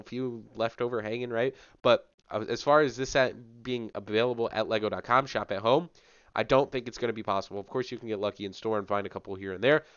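Young guy on a headset mic, a bit sick, chatty, smooth lowish voice, dry dull sound. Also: a bit bright, dry recording. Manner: chatty, filler-heavy, enthusiastic. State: sick, voice a little off. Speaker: channel host. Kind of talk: casual product rundown to camera. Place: small home room with gaming chair.

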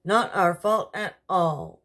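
A woman's voice: fairly low pitch, slow pace, fairly bright tone. A woman voicing an earnest, fretful storybook king in an emphatic, theatrical manner. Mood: reassuring.

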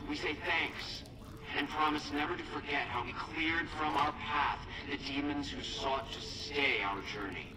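A man playing a solemn cult prophet, preaching to his followers in a grand, reverent, measured manner in a video game. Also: a little high, close to an everyday voice. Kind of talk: ceremonial sermon-like speech. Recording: echoey, distant, noisy hall.

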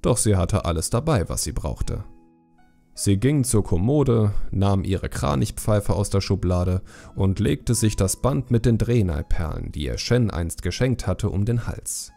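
A man's voice: fairly low pitch, lively intonation, measured pace, gravelly, warm tone, faint music behind. A man reading scripted fantasy narration as an audiobook storyteller.

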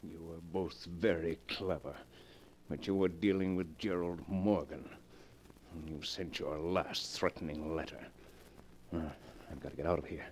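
A man's voice: sits low, steady tone, unhurried. An American man playing a paranoid, imperious businessman with a violent streak in 1940s radio drama style. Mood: grim, furtive. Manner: imperious, menacing. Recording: noisy old 1940s radio recording.

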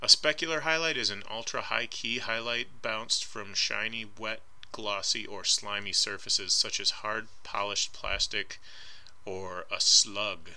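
A man with a very bright, forceful voice gives an art lecture over slides. He talks casually and hedges often, filling pauses with ums, and sits on the lower side in pitch. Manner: casual and hedging, frequent ums. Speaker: art instructor. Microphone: voice-over recorded over slides.

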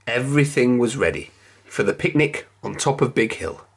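A reader telling a children's picture book aloud in a measured, expressive storytelling manner. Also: medium pitch, vocal fry, husky.

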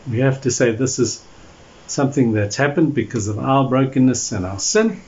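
A man in his forties, a pastor giving a measured sermon to camera, pausing often and stressing key phrases. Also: medium pitch, a little mellow. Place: small indoor room at home or office. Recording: dull lo-fi audio with background noise.

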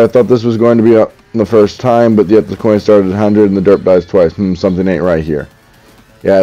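A young man with a deep voice, reading viewer comments aloud while gaming at home, dry and a little sarcastic, often stammering as he restarts his sentences. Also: dark tone. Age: young adult. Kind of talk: casual commentary while playing. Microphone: headset. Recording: slightly dull lo-fi audio.

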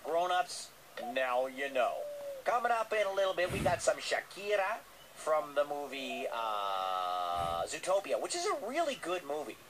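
A man's voice: on the high side, wildly swooping pitch, a little slow, crowd noise. A man hosting a radio show in a casual, upbeat presenting style. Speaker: radio host. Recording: noisy re-recording of radio playback.

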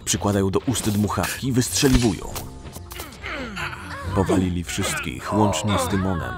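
A man's voice: low voice, normal speed, loud music behind the voice. A man reading scripted narration for a fantasy audio drama.

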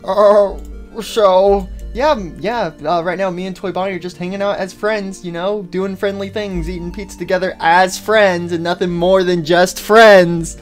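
A man's voice: high voice, fairly even intonation, moderate pace, soft background music, close to an everyday voice. A man voicing a chirpy, friendly, oblivious female toy chicken animatronic in a comedy cartoon, chatting in a flustered, rambling way. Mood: flustered, defensive. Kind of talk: amateur comedy cartoon dialogue.

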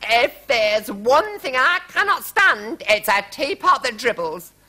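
A man voicing a fussy, highly strung storybook queen, imperious and melodramatic in her declaiming. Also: sing-song, takes it slowly, sharp tone, full character voice. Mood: wailing, outraged. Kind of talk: children's story character voice.

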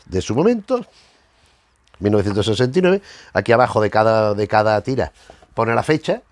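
A man's voice: mid-range voice, gravelly, dry recording. A man in his fifties with a Spanish accent from Spain, chatting casually as a review host, often repeating words as he searches for phrasing. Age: 50s. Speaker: host of a retro comics review show. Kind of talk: casual, rambling monologue to camera. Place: small book-lined room at home, indoors.

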